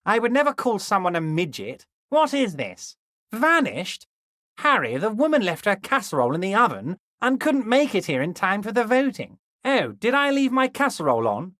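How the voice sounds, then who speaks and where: Oily union boss, swooping intonation. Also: male voice, high voice, wildly swooping pitch, moderate pace, pushed and bright, no room sound, clean studio sound, exaggerated voice. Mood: mock concerned. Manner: ingratiating, oily, insinuating, repeatedly addressing listener as a pal. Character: smug, falsely friendly, overweight corrupt union boss, adult man. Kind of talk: video game character dialogue.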